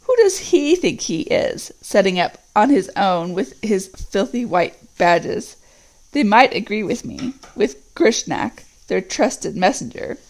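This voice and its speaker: A woman voicing a scheming male orc messenger who sneers in a soft, menacing voice. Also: mid-range voice, very animated, slow pace, rough recording. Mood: sneering.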